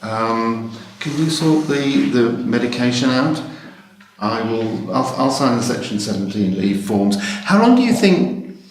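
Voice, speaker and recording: A man's voice: medium pitch, animated, unhurried, a little hiss or hum, natural voice. A man playing a busy, apologetic hospital doctor in a training film, speaking politely and hesitantly. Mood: apologetic, flustered. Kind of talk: acted training film scene. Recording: echoey room, faint music.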